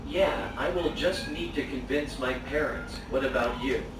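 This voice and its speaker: A man's voice: medium pitch, a little slow, lightly acted. A man playing a friendly student, Peter. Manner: even, textbook phrasing. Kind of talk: scripted English dialogue video. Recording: roomy, distant, noisy playback.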